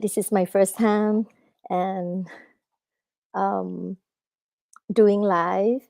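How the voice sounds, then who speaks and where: A woman's voice: mid-range voice, creaky, warm tone, no room sound. A woman, an author giving a live talk at a book festival, hesitant with many fillers. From Thailand. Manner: hesitant, many fillers, halting non-native English.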